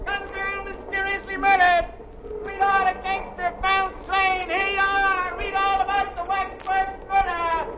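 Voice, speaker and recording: A woman voicing a shouting newsboy hawking papers in a 1930s radio drama. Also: very high-pitched, monotone, unhurried, long pauses, slight background noise, heavily acted. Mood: urgent hawking. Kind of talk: 1930s radio acting, street-cry hawking. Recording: dull 1930s radio recording.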